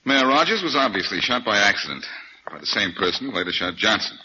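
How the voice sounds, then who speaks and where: An actor playing a cocky, quick-witted amateur detective in a 1940s radio drama, breezy and self-assured, on an old recording. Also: male voice, lowish voice, big pitch swings, sharp tone, highs cut off, slight background noise, exaggerated voice. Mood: assured, insistent.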